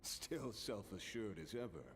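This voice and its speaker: An actor playing a jaded immortal warrior in a video game, recounting his past in a brooding, deliberate, dramatic way. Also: on the low side, animated. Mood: wry. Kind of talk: dramatic storytelling in game voice acting.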